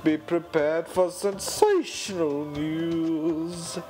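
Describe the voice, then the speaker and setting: A man's voice: on the high side, steady tone, mellow. A man playing a haughty, scheming lion usurper in a theatrical musical song cover, sneering and drawing out his words. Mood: sly, contemptuous. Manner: pompous, sneering, drawn-out theatrical delivery. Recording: amateur song cover over backing music.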